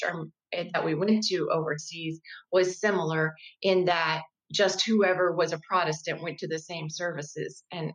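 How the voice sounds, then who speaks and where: A woman in her fifties, a guest in an interview, explaining her work as a diversity educator in a measured, thoughtful way with occasional restarts. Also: fairly low pitch, rough recording. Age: 50s. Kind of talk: conversational interview.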